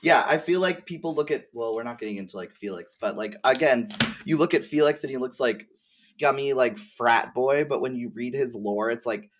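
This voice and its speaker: A man's voice: mid-range voice, normal speed, few pauses, background noise. Slangy, effusive young man. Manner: effusive, slangy, uses "like" heavily. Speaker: podcast guest, game streamer. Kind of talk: casual gaming chat.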